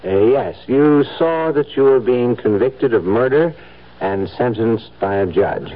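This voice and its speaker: An actor playing a firm, insistent psychiatrist with a blunt, measured clinical manner in a 1970s radio drama. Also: male voice, medium pitch, expressive. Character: firm, blunt male psychiatrist pressing his patient. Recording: dull, muffled vintage radio recording.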